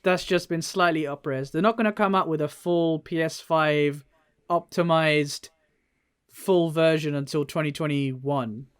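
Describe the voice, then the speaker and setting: A man with a fairly high-pitched voice talks as a podcast co-host. His delivery is casual and informal, full of relaxed slang, like friends chatting. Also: measured pace, clean tone. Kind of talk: casual gaming podcast chat.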